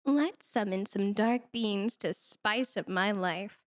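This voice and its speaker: A woman's voice: mid-range voice, takes it slowly, dry recording, studio quality, close to an everyday voice. A woman voicing a sardonic, teasing female demon with a condescending, flirty manner in an ASMR roleplay.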